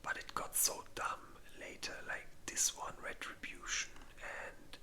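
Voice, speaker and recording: Slow, whispered ASMR host. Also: bright, forceful tone. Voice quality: breathy unvoiced whisper. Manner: informal, rambling, swears casually. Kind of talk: whispered ASMR, casual ranking commentary.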